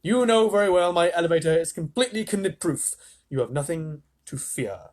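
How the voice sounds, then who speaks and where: A man's voice: on the high side, expressive, normal speed, pitched above the performer's own voice, close to an everyday voice. A man voicing an eccentric inventor who explains things patiently and sternly. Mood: calm explaining. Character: calm eccentric grown man, sweet-maker inventor.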